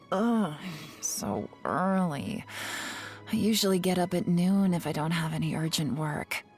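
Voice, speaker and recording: A woman's voice: low voice, full character voice. An actress playing a cunning, teasing, self-assured female intelligence agent in a video game, with a languid, sly delivery. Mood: drowsy, grumbling. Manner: languid, sly, teasing, with sighs and soft chuckles. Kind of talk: scripted video game character voice lines.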